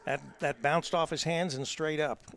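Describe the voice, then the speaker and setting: A man's voice: on the high side, fairly even intonation, moderate pace, a crowd in the background. Banter-prone man on football color commentary. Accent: American Midwest. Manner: teasing, banter-heavy, lots of uh fillers. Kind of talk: amateur live football commentary. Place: college football stadium.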